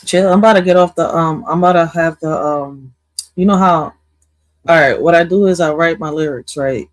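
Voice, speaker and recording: A woman, hosting a casual livestream chat in a laid-back, halting way, laughing easily. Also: no room sound. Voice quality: deep voice. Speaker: livestream host.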